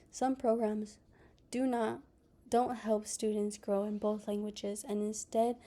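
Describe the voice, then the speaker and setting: A young woman whose first language is Spanish gives a class presentation, partly read and partly personal, speaking softly with pauses and restarts. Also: medium pitch. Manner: slow and soft-spoken, with pauses and restarts. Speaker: student presenter.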